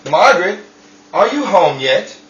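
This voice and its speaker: A man's voice: sits high, sing-song, measured pace. A man playing a bewildered, well-meaning husband in an earnest old-time radio drama style. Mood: concerned. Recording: roomy, distant, muffled, hissy amateur recording.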